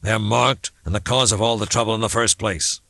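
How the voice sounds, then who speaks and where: A man's voice: lowish voice, steady tone, a little fast, vocal fry, a bit bright, no echo, pitched above the performer's own voice. A man voicing a hard, menacing small-town boss in an audiobook, speaking in a commanding, threatening way. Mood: calculating, commanding.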